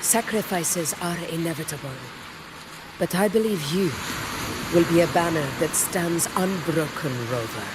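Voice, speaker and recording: An actress playing a proud, commanding gladiator leader in a video game, speaking formally with stately self-assurance. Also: female voice, on the low side, moderate pace, heavy background noise, natural voice. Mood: solemn, resolute. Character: proud, commanding female gladiator war leader, regal and battle-hungry. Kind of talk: dramatic video game character dialogue.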